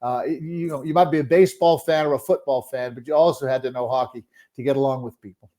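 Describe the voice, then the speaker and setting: A man's voice: mid-range voice, fairly even intonation, fast talker, mellow. Seventy-year-old man telling hockey stories. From Montreal, Quebec, Canada. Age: 70s. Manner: hesitant, many uh fillers and restarts, chuckles often. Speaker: guest, sports broadcaster and author. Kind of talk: relaxed interview storytelling.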